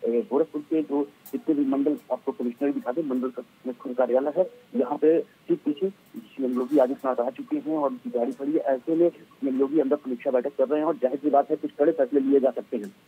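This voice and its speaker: Middle-aged male field reporter, dull lo-fi live link, halting unscripted delivery. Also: medium pitch, steady tone, slightly rough. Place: outdoors outside a government office. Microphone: handheld microphone. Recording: muffled, narrow-band live outdoor link.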